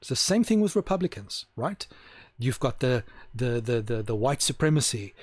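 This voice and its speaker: A man's voice: mid-range voice, sing-song, dry recording. A man giving an opinionated solo monologue to camera, emphatic and repeating his points for stress. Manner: slows down to stress key words. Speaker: video host.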